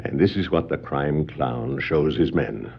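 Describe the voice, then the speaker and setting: An actor narrating a scripted 1960s superhero adventure record as a dramatic, portentous storyteller. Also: male voice, rumbling low, a little slow, gravelly, slightly rough, exaggerated voice. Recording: muffled 1960s vinyl record.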